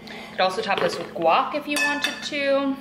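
A woman's voice: high-pitched, expressive, moderate pace, background noise. A young woman vlogging at home, chatting casually and rambling with lots of "like" and "um", filmed by a camera on a tripod. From western New York. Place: kitchen and bedroom at home.

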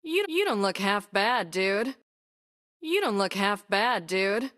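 A woman voicing a playful, confident young female car mechanic with expressive quips, as a video game character. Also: mid-range voice, slow. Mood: playful, upbeat.

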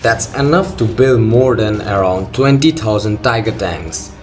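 A man's voice: fairly low pitch, a little slow. A man reading scripted documentary narration as the host of a video. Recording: loud music under the voice. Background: loud background music.

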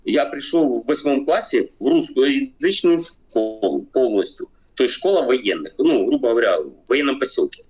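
A man's voice: mid-range voice, expressive, normal speed, hardly any pauses, a little mellow, faint music behind. Man from Ukraine, forties, hesitant with many fillers and restarts, muffled lo-fi webcam sound, video call from home. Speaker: video chat guest. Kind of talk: casual debate over video chat. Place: home room, seated in armchair. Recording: dull lo-fi video call audio.

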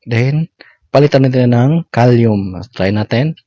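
A man's voice: mid-range voice, unhurried, mellow, no room sound. Male Filipino tutorial narrator, step-by-step delivery, dry and slightly dull recording. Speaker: content creator giving a tutorial. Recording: dull lo-fi audio.

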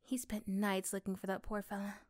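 A woman playing an affectionate, reassuring young girlfriend in a soft, gentle ASMR roleplay. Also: medium pitch, flat delivery, fairly quick, close to an everyday voice. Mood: storytelling. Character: affectionate, reassuring young girlfriend chatting intimately to her partner.

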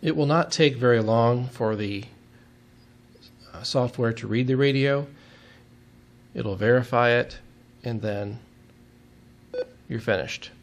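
A man's voice: mid-range voice, slow, pauses often. A man giving a step-by-step technical demonstration in a methodical, plain-spoken way, as an instructor would.

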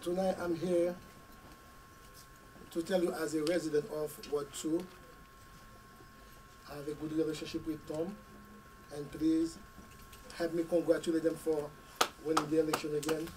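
A middle-aged man, hoarse from a cold, giving an earnest speech at a community meeting in short, paused phrases. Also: a little high, level tone, darkish tone. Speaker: school committee member. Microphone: podium mic, camera at a distance. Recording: distant mic with faint room noise.

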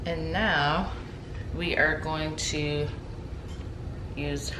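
A woman in her thirties casually talking through a recipe in her home kitchen, chatty and relaxed, with lots of ums and likes. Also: low voice, extremely slow. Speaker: home cook vlogger. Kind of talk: casual cooking demonstration. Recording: echoey kitchen with background noise. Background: TV playing in the background.

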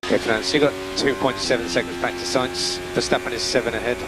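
A man working as a race engineer gives calm, methodical, terse instructions over team radio, repeating key calls. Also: medium pitch, quick pace. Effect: team radio transmission. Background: vehicle hum and noise.

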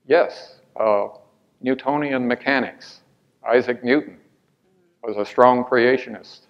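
A man's voice: medium pitch, takes it slowly, dull audio. An older man answering audience questions after a lecture, hesitant with many fillers and restarts, on a lapel mic in a large hall.

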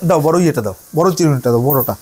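Animated, high-pitched man teaching. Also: rapid-fire. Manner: repeats phrases, often checks understanding. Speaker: hairdressing instructor. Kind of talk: hands-on tutorial to trainees.

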